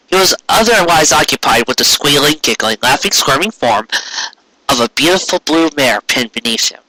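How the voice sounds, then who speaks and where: A man's voice: on the high side, sharp tone, no echo, poor audio. A man narrating a scripted story as a dramatic fan fiction reading.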